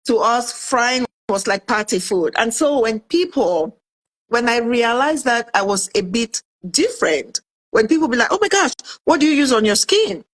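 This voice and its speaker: A woman in her fifties from Cameroon tells her story in a relaxed interview, and her voice sits a little high. She is lively and acts out her own past remarks as she goes. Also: moderate pace, poor audio. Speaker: guest, author and food entrepreneur.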